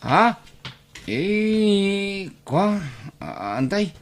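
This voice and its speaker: A man plays a meek nephew in an audio drama, with an Ilocano accent. He stammers and hesitates through slow speech full of little interjections. His pitch swings widely as he goes. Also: sing-song, exaggerated voice. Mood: hesitant, muttering. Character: Bentong, hesitant, meek nephew receiving gifts from relatives. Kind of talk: Filipino audio drama acting.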